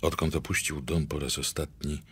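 An actor as a solemn storyteller, reading scripted narration for a brooding audio drama. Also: male voice, extremely low pitch, little pitch movement, normal speed, noisy background. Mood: somber.

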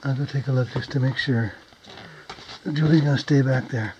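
A man's voice: medium pitch, steady tone, moderate pace, highs cut off, noisy background. A man exploring an abandoned mine, casually thinking aloud about what he finds. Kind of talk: casual narration while exploring. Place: inside an abandoned underground mine.

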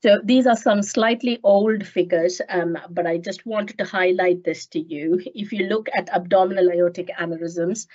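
A woman with a cold and a slightly nasal voice, giving an online medical lecture in a measured way with many ums. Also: fairly low pitch, warm tone. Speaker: clinical geneticist giving a talk. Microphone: headset on a video call. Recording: muffled video call audio.

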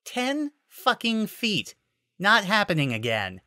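A man's voice: sits high, wildly swooping pitch, slow, bright, forceful tone, dry recording, studio quality, voice pushed up, full character voice. A man voicing a sarcastic, aggressive female cat mercenary with a biting, scornful manner in a story reading.

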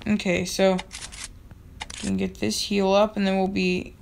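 A young woman with a slightly raspy voice, giving casual commentary over her own gameplay and yelling at other players. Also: mid-range voice, a little hiss or hum, poor audio. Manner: excitable, yells at other players, quick laughs. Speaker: gaming channel host.

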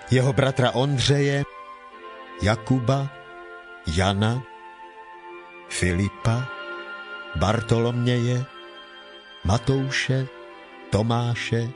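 A man giving scripted narration for a dramatized audio Bible, in a measured, deliberate storytelling manner. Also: medium pitch.

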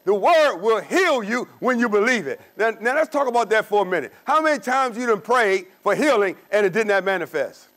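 High-voiced older man preaching. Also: expressive, fairly quick, few pauses, a bit bright. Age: 60s. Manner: emphatic call-and-response preaching, sometimes singing. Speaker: pastor. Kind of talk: sermon. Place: church sanctuary with stone wall.

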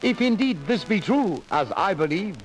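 A man playing a wise old sage in a 1950s sales film, speaking in a slow, solemn, proverb-like manner. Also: sits high, expressive, soft background music. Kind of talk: 1950s industrial film acting.

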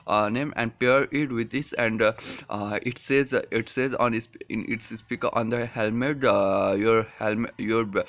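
A young man with a Nepali accent talks through a casual product review. His pace is slow and hesitant, broken up by frequent fillers, and his pitch sits in the middle for a man. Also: fairly bright tone. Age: 20s. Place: small furnished room indoors.

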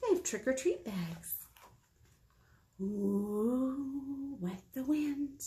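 An American woman in her thirties leading a children's storytime, reading aloud and chatting to young listeners in an encouraging, expressive manner. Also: high voice, very slow. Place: small indoor meeting room.

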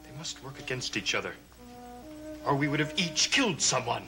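A man's voice: medium pitch, big pitch swings, normal speed, creaky. An actor playing an agitated young man tormented by an evil destiny in a gothic television soap. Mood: urgent, confused. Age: young adult. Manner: agitated, intense. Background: dramatic music.

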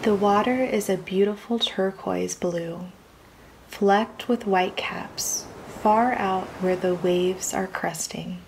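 A woman reading a scripted guided imagery meditation in a calm, soothing, measured manner with long pauses. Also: medium pitch, slight background noise, low-quality recording.

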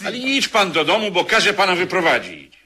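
A gruff, curt bartender in an old radio play. His voice is very sharp and fairly high, swinging in pitch as he gives impatient orders. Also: wildly swooping pitch, a little fast, heavily acted. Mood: scornful, threatening. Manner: curt, commanding. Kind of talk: 1970s Polish radio drama acting.

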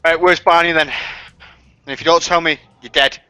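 A man's voice: on the high side, fairly even intonation, normal speed, bright, forceful tone, clear, resonant tone. A young man, casually improvising his part in a video game roleplay. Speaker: gaming roleplayer. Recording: lo-fi game mic, music behind.

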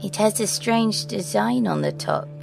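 Woman playing a precocious, creaky-voiced little girl. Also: medium pitch, huge pitch swings, measured pace, over music, exaggerated voice, rough recording. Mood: curious. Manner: proper, old-fashioned formal phrasing. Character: ancient girl in a nine-year-old's body, prim, precocious. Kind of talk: audio drama acting.

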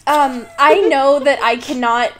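A woman's voice: high-pitched, steady tone, a little slow, a bit bright. A woman co-hosting a podcast, chatting casually in a giggly, irreverent way as she recaps a book, swearing freely. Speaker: podcast co-host.

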